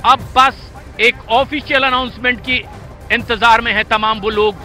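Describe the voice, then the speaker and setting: A man with a very high-pitched, piercingly bright voice delivers live breaking-news commentary. He is urgent and emphatic, hammering key phrases by repetition, with faint music underneath. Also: fairly even intonation, unhurried. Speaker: news anchor.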